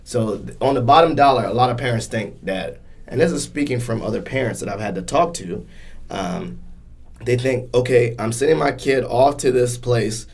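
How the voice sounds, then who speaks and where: A man's voice: mid-range voice, normal speed, vocal fry, darkish tone. A man hosting a podcast in a casual, conversational interview style, speaking colloquially and encouragingly. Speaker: podcast host.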